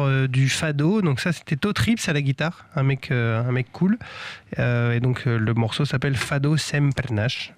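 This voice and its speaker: A man in his thirties co-hosting a music show, presenting a record in a rambling way with many fillers and restarts. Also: medium pitch. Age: 30s. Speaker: radio music show co-host. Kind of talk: casual chat presenting music.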